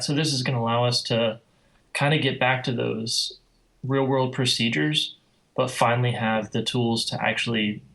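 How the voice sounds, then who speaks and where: A man's voice: mid-range voice, fairly bright tone, rough recording. A man speaking as a podcast guest, explaining things in a conversational way. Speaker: guest, air traffic controller and flight sim enthusiast. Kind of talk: podcast interview, explanatory talk.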